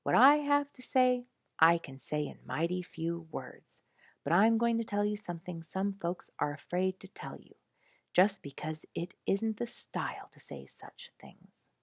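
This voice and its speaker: A woman's voice: fairly low pitch, very animated, measured pace, vocal fry, no room sound, clean studio sound, full character voice. A woman voicing a blunt, self-assured young male porcupine who lectures in a folksy, emphatic way, as in a storybook. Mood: defiant, self-assured. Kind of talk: storybook character speech.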